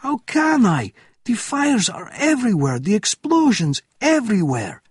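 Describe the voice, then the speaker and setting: Man playing a flustered captain, high agitated protests. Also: sing-song, unhurried, husky, dry recording, clean studio sound, heavily acted. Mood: agitated, indignant. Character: flustered, helpless army captain guarding a tunnel.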